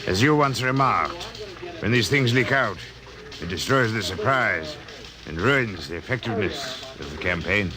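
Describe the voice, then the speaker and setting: Man playing a secretive, formal gentleman over music. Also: fairly low pitch, animated, natural voice. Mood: quietly secretive. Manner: measured, formal, reserved. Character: smooth, secretive, formally polite male client. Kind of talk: 1950s radio drama acting.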